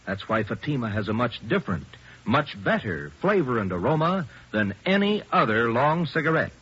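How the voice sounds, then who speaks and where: Lively vintage radio ad announcer, man. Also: medium pitch, moderate pace, a little hiss or hum. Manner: eager, punchy salesman delivery. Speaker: commercial announcer. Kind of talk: 1940s radio ad read. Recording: muffled old radio broadcast.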